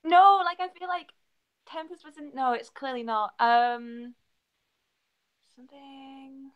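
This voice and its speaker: A woman's voice: sits high, slow pace, lo-fi sound. A woman playing as a quiz contestant, thinking aloud in casual, exclamatory chat. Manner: expressive, exclamatory.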